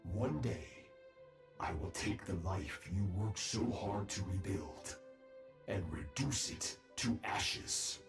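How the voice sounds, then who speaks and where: A man's voice: fairly low pitch, expressive, slow pace, echoey room, soft background music. A man playing a stern, ruthless warrior master in a video game, solemn and menacing in delivery. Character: stern ruthless male warrior master addressing his disciple. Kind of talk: dramatic video game voice acting.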